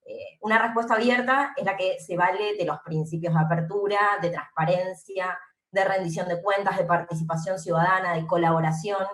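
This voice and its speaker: A woman giving prepared opening remarks over a video call, speaking in a measured, formal way. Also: mid-range voice, a bit bright, echoey room. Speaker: webinar speaker.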